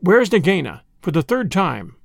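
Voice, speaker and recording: A man's voice: high-pitched, sing-song, normal speed, vocal fry, no echo, clean studio sound, heavily acted. A man reading aloud and voicing a small, brave male mongoose who talks with urgent determination. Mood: impatient.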